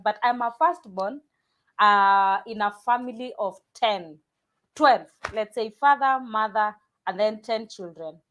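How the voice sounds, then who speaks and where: A woman from Kenya, a pastor talking casually in an interview, often restarting sentences and repeating words. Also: on the high side. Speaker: pastor and guest.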